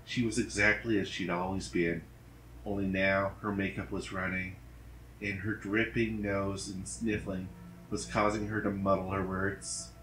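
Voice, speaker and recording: A man narrating a dramatic horror story in a deliberate, grave manner. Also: sits low, little pitch movement, fairly bright tone. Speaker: story reader. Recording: roomy echo, background noise.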